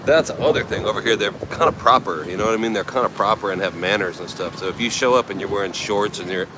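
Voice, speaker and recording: Low-voiced American man chatting on a noisy street. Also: fast talker. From United States, California. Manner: rambling, laid-back, chatty. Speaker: travel livestreamer. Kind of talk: casual livestream chat, answering viewers. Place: outdoors at a night market by the beach. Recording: noisy outdoor street recording.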